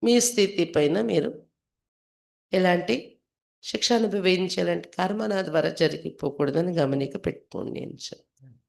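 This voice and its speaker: Low-pitched woman teaching scripture. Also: normal speed, creaky, pauses often, darkish tone. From India. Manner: instructive, repeats phrases for emphasis. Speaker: spiritual teacher reading a daily scripture. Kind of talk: devotional reading with line-by-line translation and explanation.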